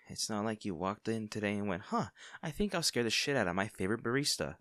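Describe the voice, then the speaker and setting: A man's voice: fairly low pitch, sing-song, fairly quick, fairly bright tone, dry recording, studio quality. A man playing a friendly, caring young barista in an intimate audio roleplay, talking in a casual, hesitant and attentive way. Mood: concerned, gentle. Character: friendly, caring young male barista, teasing and easily flustered.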